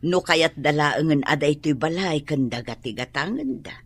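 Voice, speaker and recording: An actress playing a practical older landlady and mother in a radio drama, with a husky voice and an Ilocano accent. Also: deep voice, lively intonation, moderate pace, no room sound. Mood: matter-of-fact.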